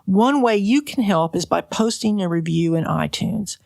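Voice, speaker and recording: A woman's voice: medium pitch, lively intonation, normal speed, gravelly, darkish tone. An American woman, middle-aged or older, hosting a conversational podcast interview.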